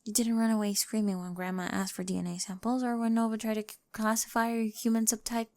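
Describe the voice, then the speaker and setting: A woman's voice: fairly high pitch, level tone, fast talker, darkish tone, no room sound, lightly acted. A woman playing an awkward, nerdy, affectionate young girlfriend in an ASMR roleplay, hesitant but precise, often dropping toward a whisper, close to the microphone. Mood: earnest, shy. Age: young adult.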